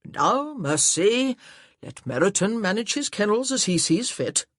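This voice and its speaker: A narrator voicing a hearty, rambling, nearsighted older gentleman in a period audiobook. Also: sing-song, a little slow, no echo, clean studio sound, full character voice. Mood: jovial. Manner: jovial, booming, rambling. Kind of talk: audiobook character voice.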